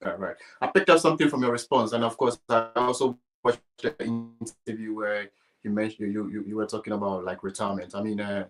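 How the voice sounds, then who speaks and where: A man interviewing conversationally about sport, with a hesitant, halting way of working up to each question. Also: lowish voice, steady tone, music in the background.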